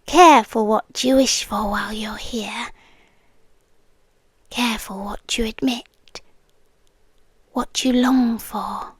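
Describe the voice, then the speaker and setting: A woman playing an ancient, possessive female monster in an ASMR roleplay, with a British accent and a hushed, teasing delivery that often drops into whispers. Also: on the high side, husky, no echo, clean studio sound, heavily acted. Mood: hushed, ominous. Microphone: close microphone.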